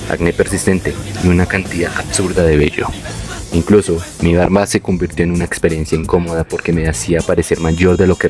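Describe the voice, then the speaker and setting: A young man reading a scripted, reflective personal narration in a measured, literary way. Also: sits low. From born in Venezuela, moved to Colombia. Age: young adult. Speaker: narrator of his own life story.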